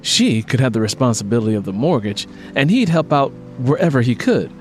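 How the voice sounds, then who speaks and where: A man's voice: mid-range voice, very animated, brisk, slight background noise. A man reading scripted fiction as an audiobook narrator.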